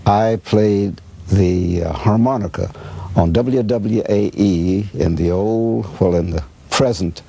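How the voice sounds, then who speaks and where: Elderly American man, interview reminiscence, husky, low-pitched, halting recollection. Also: warm tone. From Chicago, United States. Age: 70s or older. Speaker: radio veteran being interviewed.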